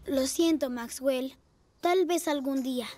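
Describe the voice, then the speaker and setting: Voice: high voice, a little slow, noisy background. A performer voicing an eager, brave young rescue motorbike boy in a children's cartoon. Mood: friendly. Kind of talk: animated children's cartoon dialogue. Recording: music playing behind.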